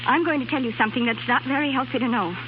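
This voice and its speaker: An actress playing a frightened, secretive young spy in a 1930s radio drama, with an urgent, pleading delivery. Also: female voice, high voice, rapid-fire, natural voice. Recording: muffled, noisy 1930s radio broadcast.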